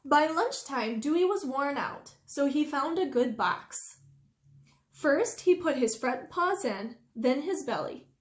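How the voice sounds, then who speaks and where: Lady doing storytime for little kids, slow n expressive, high voice, bit echoey. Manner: animated, deliberate, drawn-out emphasis. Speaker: storytime reader. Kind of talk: expressive children's storybook narration. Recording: roomy indoor echo.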